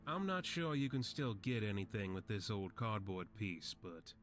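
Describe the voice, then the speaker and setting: A man voices a sickly old slum veteran for a video game. His low voice is weary and gentle, resigned to his fate. Music plays clearly underneath. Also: normal speed, close to an everyday voice.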